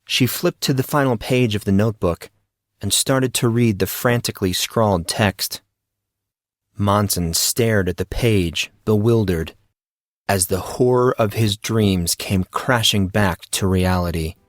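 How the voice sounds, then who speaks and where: A man's voice: fairly low pitch, a little slow, no room sound, studio quality. A man reading scripted fiction as a narrator of an audio story.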